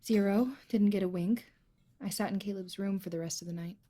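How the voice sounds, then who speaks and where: An actress playing a high-strung young mother in an audio drama, pointed and questioning with her husband. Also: fairly even intonation, fairly quick, warm tone, natural voice. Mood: tired, wary. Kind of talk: naturalistic audio drama acting.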